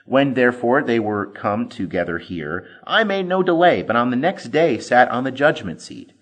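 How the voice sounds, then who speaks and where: A man reading scripture aloud as a scripted narration, measured and clearly enunciated, with dramatic emphasis. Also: medium pitch, a bit bright. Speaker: Bible reader.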